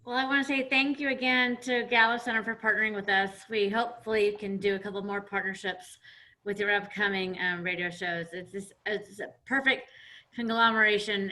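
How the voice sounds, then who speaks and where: A woman's voice: mid-range voice, pushed and bright. A woman speaking informally as co-host of a community panel event. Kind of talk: informal closing remarks and audience questions.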